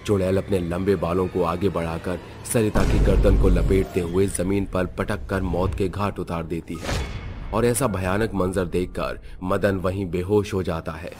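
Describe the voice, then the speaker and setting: A man's voice: low voice, steady tone, unhurried, a little mellow, a little hiss or hum. A man narrating a scripted horror story as its storyteller.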